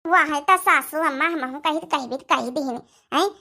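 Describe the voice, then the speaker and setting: A woman's voice: sits high, normal speed, gravelly, pushed and bright, full character voice. A woman voicing a plain-spoken village sister-in-law in a cartoon comedy, rustic and plaintive, with an Awadhi accent.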